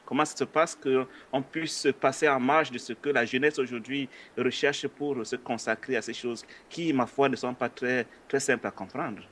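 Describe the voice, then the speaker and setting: A man's voice: medium pitch, fairly even intonation, moderate pace, few pauses, fairly bright tone. A man hosting an interview, asking questions in a polite, hesitant way with frequent filler sounds. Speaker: interview host. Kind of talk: conversational religious interview.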